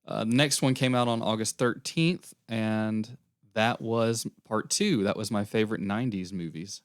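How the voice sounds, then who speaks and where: Young male podcast co-host, low and slightly hoarse, casual rambling delivery, dry close sound. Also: moderate pace, no room sound. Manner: rambling recap with fillers. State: losing his voice, airy. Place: small podcast room.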